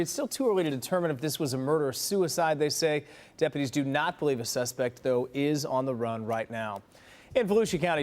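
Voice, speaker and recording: An American man reading the news as a morning television anchor. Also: a little high.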